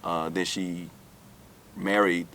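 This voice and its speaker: A low-voiced man from the United States shares personal memories as an interview guest. His delivery is candid and conversational, and he often repeats phrases as he goes. There is faint noise behind him. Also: flat delivery, slow pace, bright, forceful tone. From United States, born in New York, raised in Alabama.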